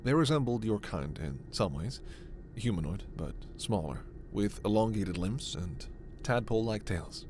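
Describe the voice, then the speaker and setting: A man playing a gentle, scholarly, protective alien doctor in a sci-fi ASMR roleplay, speaking in a measured, formal, precise way. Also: fairly low pitch, expressive, slight background noise. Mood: explanatory, earnest.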